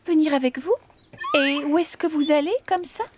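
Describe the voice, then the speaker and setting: A woman voicing a worn-out old cat with a plaintive, drawn-out delivery in a children's cartoon dub. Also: sits high, noisy background, close to an everyday voice. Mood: wary, curious. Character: sad, worn-out old cat with blunt teeth.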